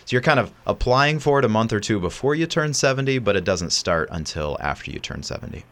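A man's voice: lowish voice, lively intonation, fairly quick, fairly bright tone. A man co-hosting a call-in radio show, patiently and confidently explaining an answer to a caller. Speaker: radio show co-host, financial adviser.

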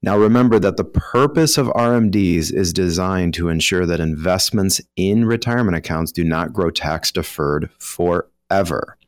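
A man's voice: deep voice, moderate pace, hardly any pauses, dry recording, clean studio sound. A man with an American accent, a financial professional explaining rules in an informal, conversational way as a guest expert. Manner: explanatory, informal, voices hypothetical first-person examples.

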